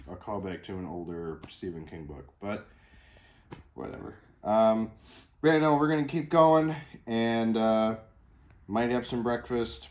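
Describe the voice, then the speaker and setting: A man's voice: fairly low pitch, a little mellow. A man chatting casually to camera as a book vlogger, in a rambling, informal and slangy way.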